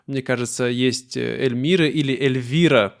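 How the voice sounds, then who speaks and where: A man from Russia hosting a slow conversational interview, phrasing his questions carefully and rewording them as he goes. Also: medium pitch, fairly even intonation, vocal fry. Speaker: interviewer, host.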